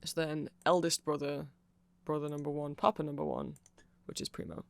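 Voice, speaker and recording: Creaky mid pitched voice doing a rambly casual asmr explainer, opinionated, super dry close sound. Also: little pitch movement, normal speed, no room sound. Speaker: content creator.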